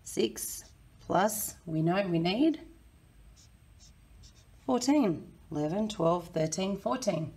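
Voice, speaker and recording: A woman in her thirties with a croaky, hoarse voice, teaching a children's maths lesson in a friendly, encouraging way. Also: medium pitch, expressive, slow, pauses often, mellow. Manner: friendly, encouraging, talks to kids. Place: small indoor room.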